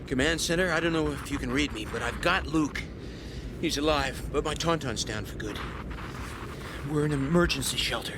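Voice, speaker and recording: An actor playing a wry, gruff but reassuring smuggler in a radio drama, with casual, clipped speech. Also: male voice, a little high, normal speed, natural voice. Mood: urgent. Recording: loud storm noise behind.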